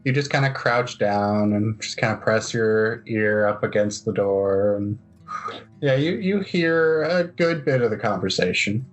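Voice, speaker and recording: A man with a halting delivery, narrating and chatting as he improvises a tabletop roleplaying game. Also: on the low side, animated. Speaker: game master and player. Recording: music playing under the voice.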